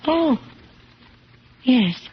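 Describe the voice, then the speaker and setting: Distressed, high-voiced accused woman. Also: big pitch swings, exaggerated voice. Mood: confused, resigned. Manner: earnest, halting, pleading. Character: distressed, self-doubting young woman accused of theft. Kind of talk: 1970s radio drama acting. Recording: dull, noisy old radio recording.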